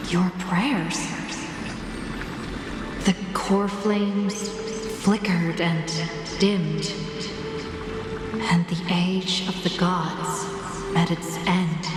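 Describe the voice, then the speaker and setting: A woman voicing a solemn, gentle godlike figure in a video game, speaking in measured phrases. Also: lowish voice, level tone. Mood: solemn, tender. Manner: slow, solemn, measured delivery. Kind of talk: scripted video game drama. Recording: cavernous long reverb, music underneath. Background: orchestral game music.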